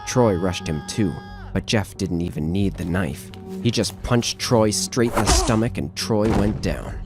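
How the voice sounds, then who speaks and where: A man's voice: lowish voice, lively intonation, a little slow, over loud music. A man narrating a scripted horror story as the narrator of an audio drama.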